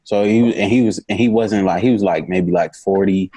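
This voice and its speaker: A man's voice: sits low, normal speed, husky. A young man co-hosting a podcast, talking casually with lots of fillers and restarts. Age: young adult. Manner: relaxed, filler-heavy storytelling. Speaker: podcast co-host. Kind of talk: casual chat.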